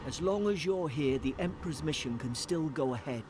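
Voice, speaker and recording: An actor playing a loyal, worried naval gunner in a video game, earnest and respectful, with noise behind him. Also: male voice, a little high, lively intonation, brisk, lightly acted. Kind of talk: video game character dialogue. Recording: clear background ambient noise from game.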